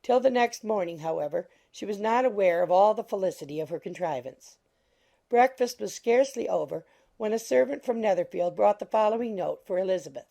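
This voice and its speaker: A woman reads scripted literary narration for an audiobook. Her voice is mellow and medium in pitch, with noticeable creak. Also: expressive, brisk.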